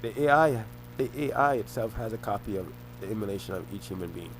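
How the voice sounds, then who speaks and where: Young man, lowish voice, halting rambling monologue with restarts, faint hum behind. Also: expressive, poor audio. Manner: halting, repeats and restarts phrases. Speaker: vlogger.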